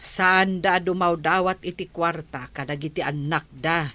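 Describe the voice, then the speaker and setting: A woman's voice: lowish voice, fairly even intonation, a little slow, bright, forceful tone. A woman playing an opinionated village gossip in an Ilocano comedy radio drama, chatty and exclamatory, with a Filipino accent.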